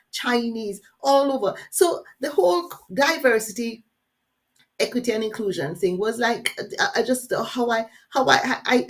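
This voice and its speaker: Energetic Jamaican woman leading a workshop. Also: fairly high pitch. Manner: energetic, engaging, addresses audience directly with questions. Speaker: workshop facilitator. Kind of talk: live webinar workshop presentation.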